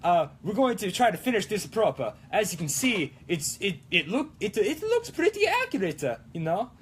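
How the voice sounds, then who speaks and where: A man doing a high, excitable cartoon Italian guy. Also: moderate pace, a little hiss or hum. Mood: playful, explaining. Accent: mock Italian. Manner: exclamatory, playful, sing-song broken English. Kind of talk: live-streamed prop-making vlog in a put-on accent.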